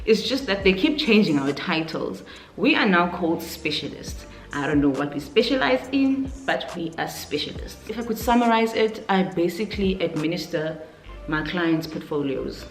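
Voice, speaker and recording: A woman's voice: lowish voice, animated, normal speed. A South African woman aged twenty-nine chatting casually and informally in a question-and-answer vlog, thinking aloud as she goes. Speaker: YouTuber, vlogger. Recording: roomy echo, faint music underneath.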